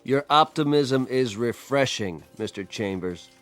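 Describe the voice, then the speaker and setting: A man's voice: mid-range voice, expressive, a little slow, fairly bright tone, soft background music. A man playing a skeptical, stern military colonel in a radio drama, speaking in a clipped, formal, sardonic way. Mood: sardonic, commanding.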